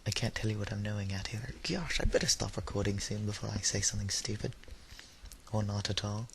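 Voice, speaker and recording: A man's voice: lowish voice, fairly even intonation, fast, vocal fry. A tired, slightly unwell young man rambling casually in a solo audio log, with dry, self-deprecating humour. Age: teenager or young adult, high-school student. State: tired, under the weather. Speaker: YouTuber giving an audio log. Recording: noisy home recording with background hiss.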